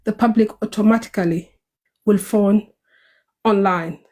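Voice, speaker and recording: A woman's voice: medium pitch, steady tone, unhurried, warm tone. A woman with a South Sudanese accent speaking earnestly and a bit hurriedly in an online group audio chat.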